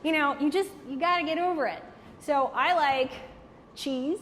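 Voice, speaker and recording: A woman's voice: high voice, moderate pace. A woman giving a casual, joking talk on networking to a live audience and taking their questions. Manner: candid, joking, animated, frequent "like". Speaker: networking speaker. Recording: hall reverb, faint background noise.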